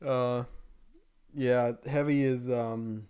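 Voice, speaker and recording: A man's voice: mid-range voice, fairly even intonation, very slow, a little mellow, clear, resonant tone, dull audio. A man co-hosting a podcast, chatting casually with crude, joking remarks. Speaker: podcast co-host. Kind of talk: casual banter.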